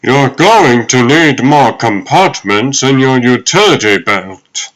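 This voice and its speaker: A man playing a gentle, fatherly elderly butler in a comic dub, formal and kindly. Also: medium pitch, lively intonation, slow pace, poor audio. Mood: dryly caring. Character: wise, gentle ghostly old butler, fatherly.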